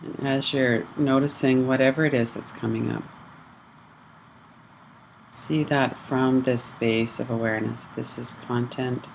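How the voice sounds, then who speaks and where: A meditation teacher gives slow mindfulness instructions in short, measured phrases. The voice stays very even in pitch, with pauses between thoughts. A faint steady hum sits behind it. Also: gravelly, poor audio.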